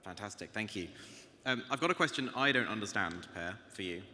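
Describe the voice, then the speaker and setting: A man's voice: on the low side, lively intonation, a little fast, pushed and bright. A British man moderating a conference panel Q&A on stage, lightly laughing and stumbling over names. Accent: British English. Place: large hall, conference stage. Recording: hall reverb, faint ambience.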